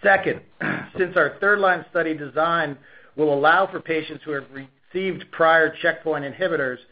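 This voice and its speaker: A man's voice: on the high side, normal speed. A man giving a formal scripted business presentation as a company executive on an earnings call. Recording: dull, lo-fi conference call audio.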